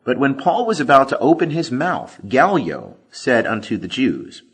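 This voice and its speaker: A man reading scripture as scripted audiobook narration, with expressive, deliberate emphasis. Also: medium pitch, slightly rough. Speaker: scripture reader.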